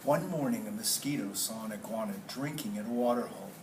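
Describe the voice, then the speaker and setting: A man's voice: on the low side, quick pace. A man teaching a class, reading a story aloud expressively and putting questions to the children. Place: indoor classroom. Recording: roomy, noisy classroom, distant mic.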